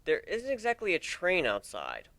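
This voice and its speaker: A man's voice: high voice, wildly swooping pitch, normal speed, a bit bright, a little hiss or hum, voice pushed up, exaggerated voice. A man voicing a dry-witted, teasing but devoted young male pegasus in a storybook reading, with a matter-of-fact manner. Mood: flat, surprised.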